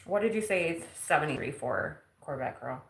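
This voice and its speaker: A woman's voice: sits low, big pitch swings, normal speed, creaky, reverberant. A woman in her forties chatting casually on a live stream at home, teasing herself and laughing often. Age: 40s. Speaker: vlogger. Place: home kitchen.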